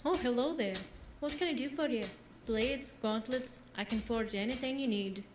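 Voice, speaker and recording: Woman acting as young female smith, proud, earnest, courteous, high voice, echoey, fantasy game. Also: normal speed, natural voice. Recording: roomy echo, faint background noise.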